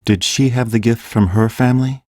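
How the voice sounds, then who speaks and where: A man playing a kind, grave fantasy king in a full-cast audiobook, speaking in a gentle, measured, earnest way. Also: mid-range voice, fairly even intonation, no room sound, studio quality, close to an everyday voice. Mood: kind, probing.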